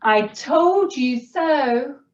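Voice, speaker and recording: Woman voicing a cocky little storybook mouse, high and sing-song. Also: expressive, very slow, heavily acted. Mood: bright, smug. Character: small clever cocky mouse, cheerful and smug. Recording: roomy, distant, dull sound.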